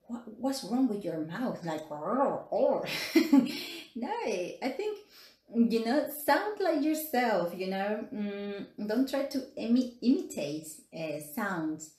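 A woman in her forties with a Peruvian Spanish accent, speaking at a middle pitch as she chats through an English lesson. She laughs often and leans on fillers and little tag questions. Her voice sounds echoey, recorded on a phone in a small room. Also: a little mellow. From Peru. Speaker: English teacher. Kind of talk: casual live-stream teaching.

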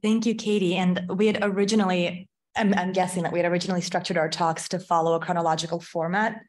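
A woman's voice: on the low side, little pitch movement, fast. A middle-aged woman from Ukraine, a film scholar giving an academic panel talk in a lecture hall, thoughtful and full of small self-corrections.

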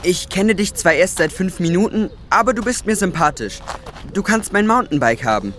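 Highish, easygoing teenage boy. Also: expressive, normal speed, fairly bright tone, a little hiss or hum. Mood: friendly. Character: teenage boy footballer, friendly, cool, easygoing. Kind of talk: children's audio drama acting.

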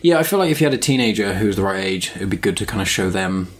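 A man's voice: low voice, lively intonation, fast. A young British man hosting a podcast, chatting casually about films in a rambling way that trails off mid-thought. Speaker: podcast host. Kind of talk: casual film review chat.

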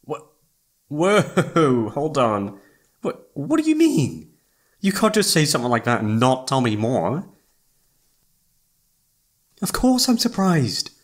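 Animated, flustered young guy best friend played by a man. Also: medium pitch, takes it slowly, long pauses, full character voice. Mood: astonished. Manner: hesitant, informal, emotive. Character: flustered, impulsive young male best friend, secretly in love. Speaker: voice actor. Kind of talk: roleplay audio drama, one side of a casual conversation.

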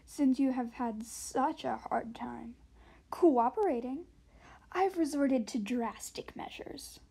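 Villain queen dragon for amateur animation, played by a woman: high voice, imperious, menacing, slow theatrical delivery. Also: vocal fry, warm tone, breathy edge, exaggerated voice. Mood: cold menace. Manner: theatrical, drawn-out, deliberate delivery.

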